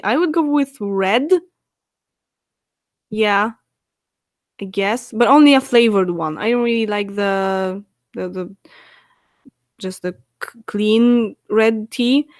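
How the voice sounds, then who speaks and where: A Polish woman in her twenties chatting on a live stream, answering viewers' questions with frequent laughs and halting fillers. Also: mid-range voice, no room sound, studio quality. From Poland. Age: 20s. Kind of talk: casual live stream chat.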